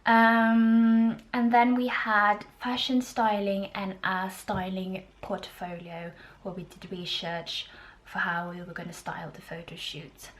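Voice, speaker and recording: A woman's voice: medium pitch, flat delivery, takes it slowly. Young woman vlogging over background noise. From Norway. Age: 20s. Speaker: student video blogger. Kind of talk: casual informative vlog to camera.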